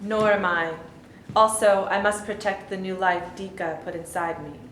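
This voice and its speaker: A woman's voice: mid-range voice, steady tone, normal speed, fairly bright tone, a little hiss or hum, lightly acted. A woman playing an exhausted, protective cavewoman in a staged reading, speaking plainly and earnestly. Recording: echoey hall.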